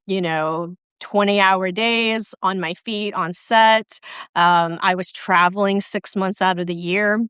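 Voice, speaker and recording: A woman in her fifties telling her career story in a relaxed video-call interview, pausing often with ums. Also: mid-range voice, clear, resonant tone, no echo, clean studio sound. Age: 50s. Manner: reflective, many ums and restarts. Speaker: guest. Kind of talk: casual interview chat.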